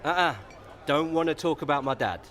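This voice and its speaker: An actor playing a tipsy, sulky young son with hurt feelings in a radio soap. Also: male voice, fairly high pitch, brisk, fairly bright tone, natural voice. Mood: sulky, drunk.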